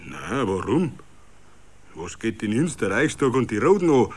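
A man voicing gruff, defiant Bavarian villagers, indignant and grumbling, in a radio story. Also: on the low side, sing-song, a little slow, exaggerated voice. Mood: annoyed defiance.

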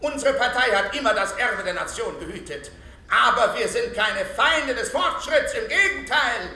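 A bombastic opposition leader in a radio play, with a high, piercing male voice. He delivers theatrical, rhetorical oratory with sly populist appeal. The sound rings with room echo over faint noise. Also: expressive, moderate pace, sharp tone, exaggerated voice. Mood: grandiose, persuasive. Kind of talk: political oration in a German radio play. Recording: echoey hall sound with slight noise.

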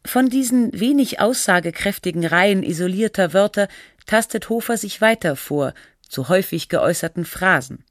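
A woman's voice: medium pitch, animated, moderate pace, dry recording, studio quality, lightly acted. A woman playing a sober, literary narrator and researcher in scripted documentary-style radio drama. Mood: measured, factual.

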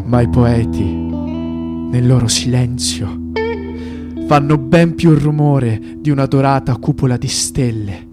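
A man giving a dramatic poetry reading in a theatrical way, with pauses between phrases. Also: mid-range voice. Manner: slow, theatrical delivery with pauses. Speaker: stage performer, voice and reading. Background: guitar, piano and synth music.

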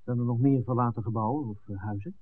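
A man's voice: mid-range voice, quick pace, lightly acted. An actor playing an anxious, impatient man searching for a missing woman in a 1960s radio drama. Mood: tense, curt. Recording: narrow, phone-like old radio recording.

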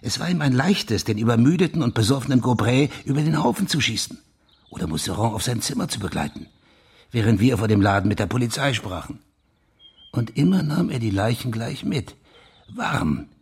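An actor playing a police official in a German crime radio drama, insistently laying out his theory with a husky, gravelly voice. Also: mid-range voice, very animated, fairly quick, a little mellow, full character voice. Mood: grave, deducing.

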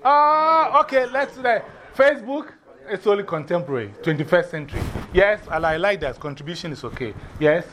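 Ghanaian guy teaching a class, higher voice, lively, faint room noise in the back. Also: a little fast. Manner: lively, repeats and spells out words, laughs often.

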